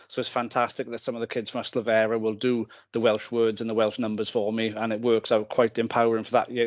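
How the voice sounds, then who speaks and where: A man youth worker explains his clubs' sessions to a committee in an earnest, steady way. His voice is lowish and very flat. The sound is dry and close. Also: no echo. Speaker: youth service staff member. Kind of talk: reporting to a committee.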